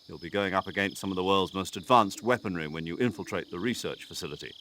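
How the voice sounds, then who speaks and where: An actor playing a talkative military commander giving a mission briefing over the radio in a game cutscene. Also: male voice, low voice, fast, sharp tone, slight background noise. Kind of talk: video game cutscene acting.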